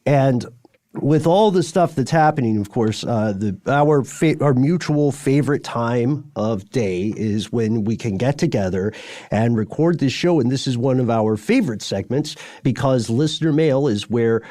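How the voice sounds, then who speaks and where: A man's voice: mid-range voice, lively intonation, unhurried, warm tone, dry recording, clean studio sound. A man co-hosting a podcast in casual, bantering chat, with a wry, playful and rambling way of talking. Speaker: podcast co-host. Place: remote recording, dry close sound.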